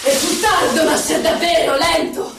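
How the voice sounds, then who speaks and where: A woman giving a theatrical poetry recital for radio, deliberate and pausing between words. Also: extremely high pitch, steady tone, background noise. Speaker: poetry performer. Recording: echoey distant recital over music. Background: music.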